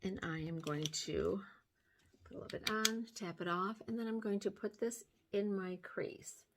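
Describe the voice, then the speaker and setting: An older woman with a slightly hoarse voice, gently talking through a makeup tutorial step by step, filmed close on a phone at home. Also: mid-range voice, normal speed, background noise. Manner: gentle, step-by-step explaining while applying makeup. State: hoarse from sinus trouble. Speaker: beauty vlogger. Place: small room at home, indoors. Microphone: phone camera close up.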